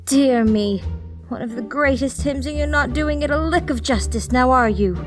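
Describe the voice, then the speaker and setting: A woman's voice: high-pitched, normal speed, over music, voice pushed up, natural voice. Woman acting a stern, exasperated old nun. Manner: terse, scolding, calls people dear. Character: stern elderly female nun, exasperated choir director.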